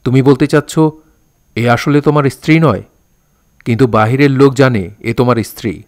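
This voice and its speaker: A man's voice: medium pitch, brisk, dry recording, clean studio sound, natural voice. A man playing a stern young man who confronts his friend with sarcastic, insistent questioning in a Bengali audio drama. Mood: sarcastic, probing.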